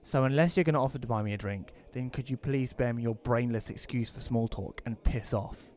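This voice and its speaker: A man's voice: mid-range voice, fairly quick, darkish tone, lightly acted. A man playing a curt, sarcastic, antisocial widower who narrates his own story in an audio play. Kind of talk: first-person audio play narration and dialogue.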